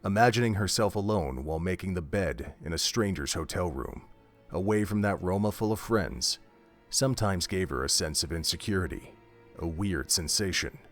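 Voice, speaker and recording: Low-pitched male story narrator. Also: animated, normal speed, faint music behind. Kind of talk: scripted audio drama narration.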